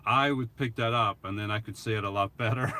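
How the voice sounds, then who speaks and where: Bright-voiced man with an accent. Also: medium pitch, a little hiss or hum. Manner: rambling, chuckling, many restarts. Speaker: YouTube channel host answering live chat. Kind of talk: casual live-stream Q&A.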